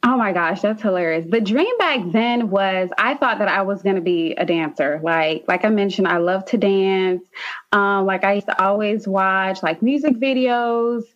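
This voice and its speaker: A woman's voice: medium pitch, moderate pace, highs cut off. A young woman from Haiti, a nurse practitioner, chatting as a podcast guest with many "um"s and "like"s. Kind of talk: casual interview.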